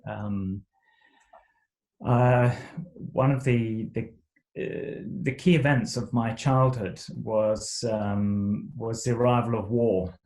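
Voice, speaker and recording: A middle-aged man from Zimbabwe, raised speaking Shona and English, telling his life story as an interview guest in a halting way, with many fillers and restarts. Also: mid-range voice, steady tone, a little mellow, low-quality recording. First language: Shona alongside English.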